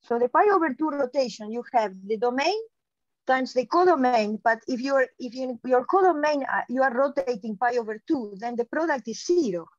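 A woman's voice: high-pitched, lively intonation, normal speed, no room sound. A middle-aged woman on a video call, giving an explanatory academic answer and often restarting her phrases. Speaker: keynote speaker, mathematician. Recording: dull, lo-fi video call audio.

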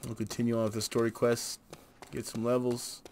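A man's voice: mid-range voice, little pitch movement, a little fast, darkish tone. A man casually narrating his gameplay, muttering joking asides as he goes. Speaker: gameplay streamer. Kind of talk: casual gameplay commentary, reading quest dialogue aloud. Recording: noisy home recording.

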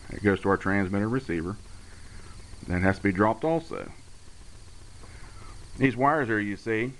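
Low-voiced man explaining gear over clear noise. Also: a bit bright. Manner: matter-of-fact, explanatory. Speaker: radio hobbyist demonstrating his conversion work. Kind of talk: casual hands-on technical walkthrough. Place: indoor room at a home radio bench. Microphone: handheld camera's built-in mic. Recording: noisy amateur handheld camera audio. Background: clear background noise.

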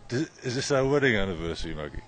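A man hosting a casual podcast, talking in a relaxed, rambling way with many fillers, recorded outdoors on a handheld recorder. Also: lowish voice, racing. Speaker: podcast host. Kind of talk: casual chat. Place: outdoors at a festival.

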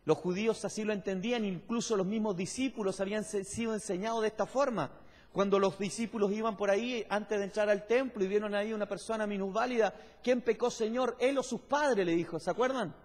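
A man's voice: sits high, fairly even intonation, brisk. A man preaching a sermon in an explanatory, emphatic teaching manner, putting questions to the congregation. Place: large church hall, on stage at a pulpit. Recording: echoey church hall with long reverb.

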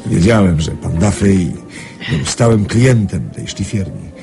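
A male actor playing a genial, crafty American gentleman traveller in a radio drama, with polished, theatrical delivery. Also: low voice, big pitch swings, unhurried, creaky, music in the background, full character voice. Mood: relishing the tale.

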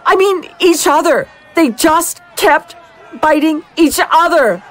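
A woman's voice: high-pitched, animated, takes it slowly, fairly bright tone, heavily acted. Unsettled female war correspondent, faint music. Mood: mounting hysteria. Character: veteran female war correspondent unraveling into madness. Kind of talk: dramatic field news report acting.

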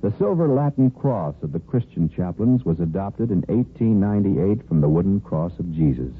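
A man's voice: low voice, big pitch swings, normal speed. A man with an American accent reading formal scripted narration as a 1950s radio announcer. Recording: tinny, faintly hissy old radio recording.

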